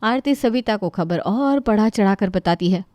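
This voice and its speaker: Casting: female narrator for moral tales, expressive storytelling, mid-pitched, very dry sound. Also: moderate pace, no echo.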